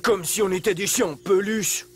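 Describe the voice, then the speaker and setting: A man's voice: high voice, normal speed, crowd noise, close to an everyday voice. A man voicing a brash, mocking male stray dog in a children's cartoon, with a slangy, swaggering, taunting delivery. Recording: music under the voice.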